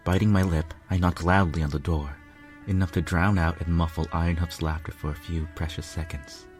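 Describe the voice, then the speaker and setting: Deep-voiced man narrating a story. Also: fairly even intonation, a little fast, soft background music. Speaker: fan fiction reader, narrator.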